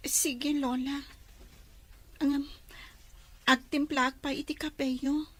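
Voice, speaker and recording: A woman's voice: high-pitched, flat delivery, slow, fairly bright tone. A woman playing a timid, anxious, dutiful young daughter in a radio drama. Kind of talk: Ilocano radio drama acting.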